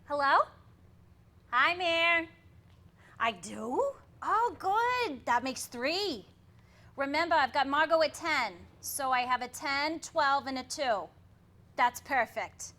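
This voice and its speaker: Lady doing a sarcastic housewife in a comedy play, high bright voice, super animated. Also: slow, pauses often, full character voice. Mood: chirpy. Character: wry, deadpan-joking housewife, exasperated daughter. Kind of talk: staged reading of a comic play.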